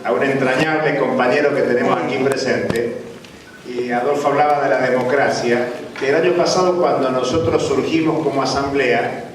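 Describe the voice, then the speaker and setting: A man's voice: medium pitch, fairly even intonation, moderate pace, noisy background. A man moderating a conference panel, chatty and informal, welcoming speakers and guests. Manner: chatty, informal, calls people over. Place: large conference hall. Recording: echoey hall, distant mic, crowd murmur.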